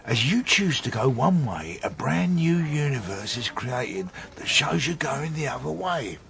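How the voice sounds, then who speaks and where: Pedantic, animated police detective. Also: male voice, mid-range voice, unhurried, creaky, full character voice. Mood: pedantic, insistent. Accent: British. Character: opinionated middle-aged male police detective, wry and pedantic. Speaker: actor in a radio drama. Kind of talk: naturalistic radio drama dialogue.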